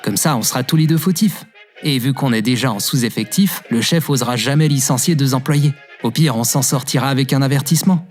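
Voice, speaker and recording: Man playing a kind-hearted shop worker, medium pitch. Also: fairly quick, soft background music, natural voice. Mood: reassuring.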